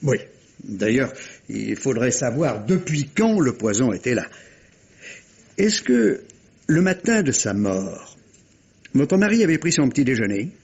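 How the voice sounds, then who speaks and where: Expressive, husky police inspector, muffled recording. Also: male voice, medium pitch, unhurried, long pauses, full character voice. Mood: probing. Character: patient, methodical male police inspector questioning suspects. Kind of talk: 1950s French radio mystery acting. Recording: muffled vintage radio drama recording.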